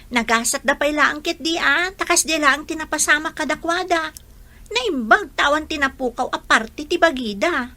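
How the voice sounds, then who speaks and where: Woman playing a bright, high-voiced school friend. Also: moderate pace, dry recording. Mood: advising. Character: sensible teenage school friend giving advice. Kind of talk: Ilocano radio drama acting.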